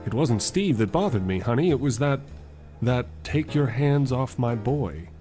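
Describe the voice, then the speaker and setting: A man's voice: mid-range voice, expressive, a little slow, mellow, soft background music, close to an everyday voice. A man playing a mild, affectionate suitor in an old-time radio drama, earnest and old-fashioned in delivery. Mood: apologetic, gentle.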